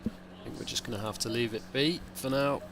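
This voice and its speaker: A man streaming a sim race, with a croaky, hungover voice, rambling and thinking aloud in casual chat. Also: mid-range voice, a little fast, background noise. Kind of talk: casual live-stream commentary.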